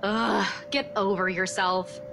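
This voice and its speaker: A woman playing a brisk, teasing young office worker in a visual novel game, with a playful, sarcastic and dismissive manner. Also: high-pitched, big pitch swings, noisy background. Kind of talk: voice-acted visual novel dialogue.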